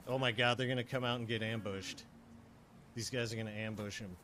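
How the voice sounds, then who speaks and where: Hoarse young man streaming a game. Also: on the low side, fairly quick, slight background noise. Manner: swears freely, laid-back, bursts into excitement. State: voice going hoarse after hours of streaming. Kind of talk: casual gaming livestream chat.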